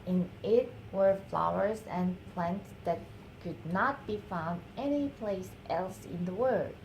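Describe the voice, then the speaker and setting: A woman with a Korean accent, a native Korean speaker, reading a storybook aloud to her baby in affectionate, cooing baby talk with drawn-out repeated words. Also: on the low side, a little mellow, slight background noise, low-quality recording. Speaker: mother reading a picture book to her baby.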